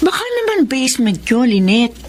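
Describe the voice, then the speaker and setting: An actress playing a perky, sassy young city woman in a Filipino radio drama, with expressive delivery. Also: high voice, normal speed. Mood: curious. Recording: noisy background.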